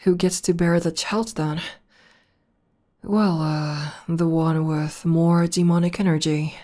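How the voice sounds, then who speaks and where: A woman playing an affectionate, teasing tomboy demon girlfriend in an intimate ASMR roleplay, speaking softly with hesitant pauses. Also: low voice, fairly even intonation. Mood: explaining gently. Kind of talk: ASMR roleplay audio spoken to the listener.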